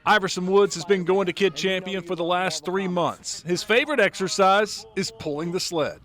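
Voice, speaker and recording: A man's voice: high-pitched, moderate pace, music in the background. A man working as a TV news reporter, reading scripted feature narration.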